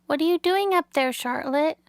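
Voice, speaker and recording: A woman's voice: high-pitched, moderate pace, no room sound, clean studio sound, voice pushed up. A woman voicing an anxious, eager young male pig in a storybook, with childlike pleading questions. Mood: curious, pleading.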